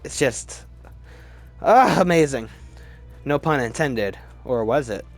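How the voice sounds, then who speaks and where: A man's voice: medium pitch, lively intonation, slow, soft background music. A man giving casual, opinionated commentary as host of a film ranking video, with gushing fan enthusiasm and repeated words for emphasis.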